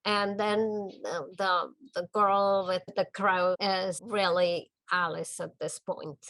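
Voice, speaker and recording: An older woman from the Czech Republic with a Czech accent, talking about her art in a documentary interview in her home studio, gentle and thoughtful with small pauses. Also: lowish voice, fairly even intonation, no echo, rough recording. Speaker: artist.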